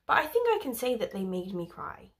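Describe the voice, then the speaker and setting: A woman's voice: on the high side, wildly swooping pitch, fairly quick. A young woman from Wales chatting casually about books, rambling with lots of fillers and the odd swear word. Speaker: book vlogger. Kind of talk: casual book review chat.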